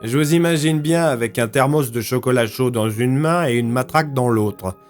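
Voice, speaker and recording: An actor playing a gruff, sceptical police inspector in an audio drama, with a dry, teasing manner. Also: male voice, medium pitch, moderate pace, soft background music, lightly acted.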